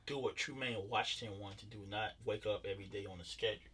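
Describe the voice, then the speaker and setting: A man's voice: fairly low pitch, steady tone, fast talker, bright, forceful tone, slightly rough, far from the mic. A man hosting a podcast, asking questions and affirming his guest in casual, encouraging talk. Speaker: podcast host.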